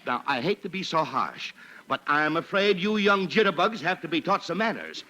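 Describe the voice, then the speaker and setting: A man's voice: fairly high pitch, animated, normal speed, pushed and bright. An actor playing an exasperated middle-aged suburban father in a 1940s radio sitcom, sputtering and repeating himself. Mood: scolding. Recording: noisy old-time radio recording.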